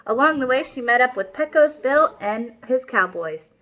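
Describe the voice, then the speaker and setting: A woman's voice: high-pitched, animated, normal speed, a bit bright, dull audio. A woman storyteller reading a picture book aloud for children, with casual asides.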